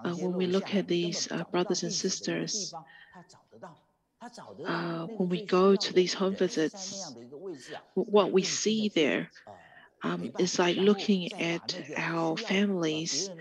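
A woman giving a live English interpretation of a talk, halting often with ums, uhs and restarts. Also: lowish voice, fairly even intonation, crowd noise, poor audio. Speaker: simultaneous interpreter. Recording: second voice speaking faintly underneath.

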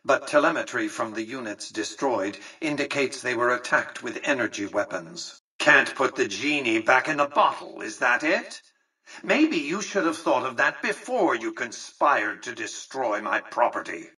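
An imperious, elderly male industrialist from a video game. His husky voice comes through a radio-like filter, slightly removed from the mic. He speaks with measured, calculating formality and condescending authority, in a forceful, crisp-edged tone. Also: mid-range voice, sing-song, recorded from a distance, heavily acted. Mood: cool, analytical, then cold, menacing. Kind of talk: scripted video game character dialogue.

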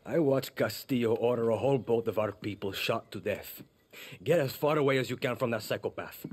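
Game casting: male actor as weary young island cynic, heavily rasped mid-range voice, blunt delivery. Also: mid-range voice, a little fast, a little mellow, lightly acted. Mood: cynical, sardonic. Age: young adult. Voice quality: husky. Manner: blunt, sardonic. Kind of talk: video game cutscene acting.

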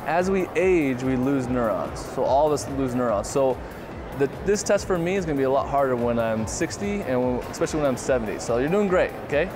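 A man's voice: mid-range voice, animated, a little fast. A man in his thirties coaching people through simple tests and explaining them in an encouraging way, recorded on a lapel mic in a shopping mall. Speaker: TV host and doctor. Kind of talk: instructing and explaining to participants. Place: busy indoor shopping mall. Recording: background music and public bustle.

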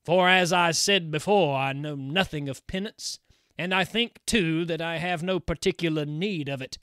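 A man voicing a calm stranger of the forest who speaks in a measured, softer and lower voice. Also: smooth, no room sound, clean studio sound, natural voice.

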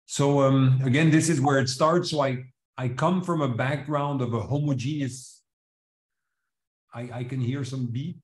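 A man from Switzerland who grew up with French and English delivers an academic lecture at a middle pitch. He explains in a measured way, restarting now and then, and his voice comes over a video call with plenty of room echo. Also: fairly even intonation. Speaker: seminar speaker, chemist. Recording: roomy echo, live online seminar.

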